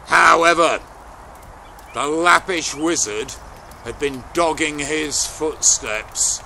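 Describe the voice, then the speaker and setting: A man tells an old folktale at an unhurried pace, his voice bright and forceful with a creaky edge. He phrases it dramatically and deliberately, leaving pauses, with some background noise around him. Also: on the high side. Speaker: storyteller. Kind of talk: oral folktale storytelling, unscripted.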